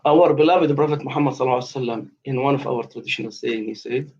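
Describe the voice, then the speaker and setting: Fast, gravelly man in his fifties. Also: medium pitch, a little mellow, muffled recording. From West Bank, Palestine. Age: 50s. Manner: insistent, formal phrasing, repeats himself. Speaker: caller in a debate aftershow. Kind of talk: heated live discussion. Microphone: online call.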